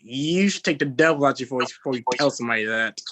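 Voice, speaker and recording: A young man from Texas doing a stand-up set, halting and filled with "uh" and "um". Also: mid-range voice, lively intonation, fairly bright tone, no echo. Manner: halting delivery with many fillers. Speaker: stand-up comedian.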